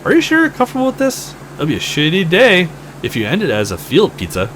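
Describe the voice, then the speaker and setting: A man voicing an excitable, playful young woman in a visual novel game. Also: high-pitched, fast talker, a little hiss or hum, voice pushed up, exaggerated voice. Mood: playful, teasing.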